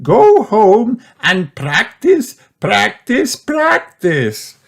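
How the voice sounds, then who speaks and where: A man voicing a kindly female gazelle schoolteacher in a children's storybook, encouraging, with drawn-out instructions. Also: high voice, wildly swooping pitch, creaky, pitched above the performer's own voice, exaggerated voice.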